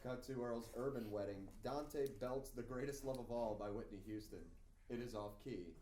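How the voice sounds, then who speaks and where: Mid-pitched male narrator in an echoey room. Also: moderate pace, dark tone. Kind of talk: reading screenplay stage directions at table read. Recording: echoey room, distant, faint noise.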